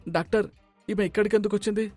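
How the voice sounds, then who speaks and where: A gangster in hiding, acted by a man, high-voiced and quick, suspicious of everyone. He talks with urgent menace, as in an animated moral tale with music underneath. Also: close to an everyday voice. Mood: wary, questioning. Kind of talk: dramatic moral-story voice acting.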